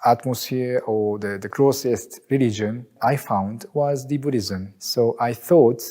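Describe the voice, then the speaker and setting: A man from Japan with a Japanese accent, an imam speaking earnestly and reflectively in a testimony interview, sometimes pausing to search for words. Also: medium pitch, mellow.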